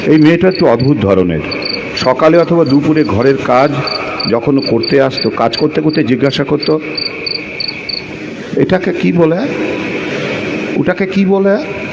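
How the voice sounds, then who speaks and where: A man playing a lovestruck, brooding narrator of a secret romance in an audio drama, with poetic phrasing and pauses. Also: a little high, expressive, creaky, dull audio, noisy background. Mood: brooding, reflective.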